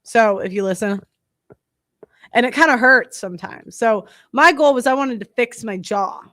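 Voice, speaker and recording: A woman's voice: a little high, moderate pace, fairly bright tone. Nasal young woman, blunt and rambling. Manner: rambling, blunt, many fillers. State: breathing heavily. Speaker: podcast host. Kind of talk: casual chat to viewers.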